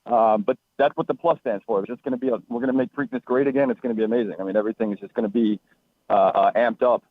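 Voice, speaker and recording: A man answering as a radio interview guest, conversational and halting with repeated words. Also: medium pitch, steady tone, dry recording. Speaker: racetrack authority executive, interview guest. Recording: thin, phone-like radio line.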